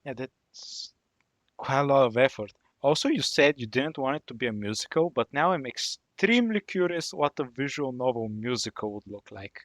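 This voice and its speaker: A man's voice: mid-range voice, animated, normal speed, fairly bright tone, dry recording. A man co-hosting a podcast in casual conversation. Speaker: podcast co-host. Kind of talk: casual chat.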